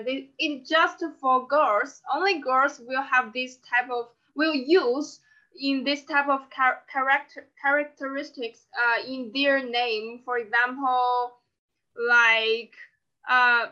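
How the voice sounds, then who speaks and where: A young woman from China with a Chinese accent, a native Chinese speaker giving halting, non-native English answers as a podcast guest in casual conversation. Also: high voice, fairly even intonation, pushed and bright, highs cut off.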